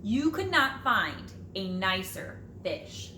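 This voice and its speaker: A woman teacher reading a children's storybook aloud in an expressive, dramatic way with drawn-out phrases. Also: mid-range voice. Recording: roomy echo, light background noise.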